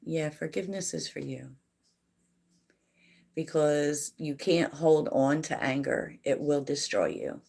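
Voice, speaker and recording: A woman hosting a tarot livestream, chatting softly and reflectively with viewers and laughing often. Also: low voice, lively intonation, slow pace, vocal fry, slight background noise. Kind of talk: casual livestream chat answering viewers.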